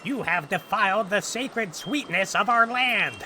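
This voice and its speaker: Gingerbread army commander: pompous, menacing, excitable, slow delivery over clear noise. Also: male voice, high voice, full character voice. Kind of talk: sci-fi comedy audio drama acting.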